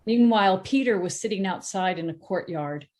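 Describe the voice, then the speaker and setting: A woman's voice: mid-range voice, lively intonation, moderate pace, darkish tone. A woman narrating a scripture passage in a church drama, reading expressively.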